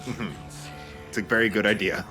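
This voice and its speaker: A man's voice: on the low side, fairly even intonation, moderate pace, bright, forceful tone. A man chatting casually over a video game as a co-commentator, blunt and informal in how he talks. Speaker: let's play co-commentator. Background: video game music.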